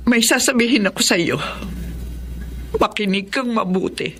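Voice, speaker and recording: A woman's voice: mid-range voice, unhurried, loud music behind the voice, natural voice. An actress playing an anxious, loving adoptive mother and wife in a Tagalog radio drama. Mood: worried, tearful.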